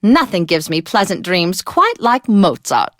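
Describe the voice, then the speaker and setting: A woman's voice: medium pitch, sing-song, a little slow, no echo, clean studio sound. An actress playing a flamboyant, martini-loving lady DJ on a classical radio station in a video game, with a theatrical, gushing, old-fashioned manner. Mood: genteel, affectionate. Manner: theatrical, affected, gushing, old-time slang and endearments. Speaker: radio host.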